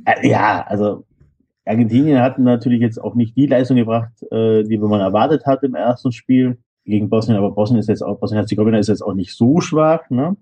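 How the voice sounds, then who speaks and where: A man's voice: on the low side, fairly quick, mellow, breathy edge, dull audio. A man from Stuttgart, a guest on a podcast, chatting casually and jokingly about football and laughing often.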